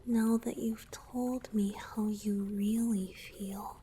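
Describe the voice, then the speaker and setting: A woman voicing an affectionate, playful young merman boyfriend in a romantic ASMR roleplay, tender, breathy and often whispering. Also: medium pitch, steady tone, takes it slowly, warm tone. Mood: tender, intimate.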